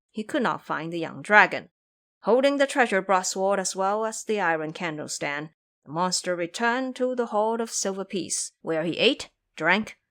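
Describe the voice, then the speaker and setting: A woman's voice: medium pitch, a bit bright. A woman narrating a scripted fantasy story in a dramatic storytelling style.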